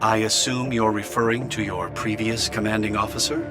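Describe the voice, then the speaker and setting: An actor playing a polite, guarded project director in a video game, speaking formally and courteously. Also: male voice, fairly low pitch, level tone, normal speed, lightly acted. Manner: formal, courteous, smooth official. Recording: background music under voice.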